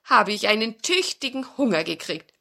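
A woman voicing a cheerful young boy in a children's fairy tale, high and raised. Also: very animated, moderate pace, creaky, pushed and bright, full character voice. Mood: excited.

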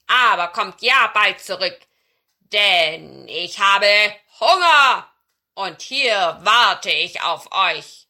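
Woman playing big male giant, storybook villain, loud, forceful, bullying, hungry, slow-spoken. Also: medium pitch, lively intonation, heavily acted. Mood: impatient. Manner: loud bellowing.

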